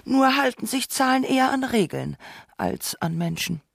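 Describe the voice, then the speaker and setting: Dry, close, mid-pitched radio narrator. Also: very animated, normal speed, vocal fry, husky, dry recording. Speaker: second narrator. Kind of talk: scripted documentary narration.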